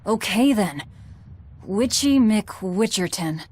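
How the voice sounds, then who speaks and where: A woman playing a cold, guarded young fighter in an anime game dub, blunt and deadpan. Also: medium pitch, slow pace. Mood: deadpan teasing.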